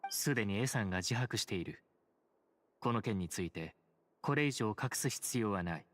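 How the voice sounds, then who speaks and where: Stern composed judge, brisk delivery male actor. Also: lowish voice, lively intonation, close to an everyday voice. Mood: stern, calm. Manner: formal, measured authority. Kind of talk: Japanese game voice acting. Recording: game audio with faint background noise.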